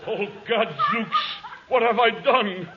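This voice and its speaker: An actor playing a deranged jail cellmate in 1940s radio comedy, melodramatic and brooding, erupting into murderous rage. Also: male voice, very high voice, wildly swooping pitch, full character voice. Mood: remorseful. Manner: slow, melodramatic, menacing build-up. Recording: dull, noisy 1940s radio recording.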